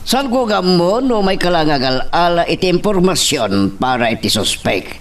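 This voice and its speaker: A man's voice: high voice, big pitch swings, moderate pace. A man playing a guarded, deferential, hesitant local official in a radio-style drama.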